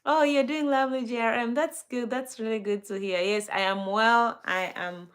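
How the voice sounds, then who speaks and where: A woman's voice: on the high side, fairly even intonation, normal speed. A young woman with a Kenyan accent hosts a casual live stream, greeting viewers by name, laughing often and using lots of fillers.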